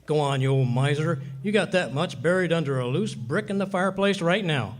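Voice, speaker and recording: Cheeky, boastful radio-comedy husband played by a man, high voice, swooping delivery, folksy wisecracks. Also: animated, brisk, close to an everyday voice. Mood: needling. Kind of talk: 1940s radio comedy acting.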